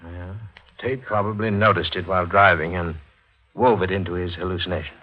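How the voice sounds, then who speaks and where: An actor playing a skeptical, learned doctor in a 1940s radio drama, with a measured manner. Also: male voice, deep voice, steady tone, lo-fi sound. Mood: puzzled. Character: skeptical, puzzled male doctor consulting with investigators.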